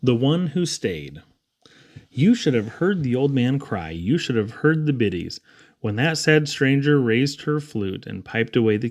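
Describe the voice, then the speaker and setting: A man reading children's poetry aloud in an expressive, theatrical storytelling style with dramatic emphasis. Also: medium pitch. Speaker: reader.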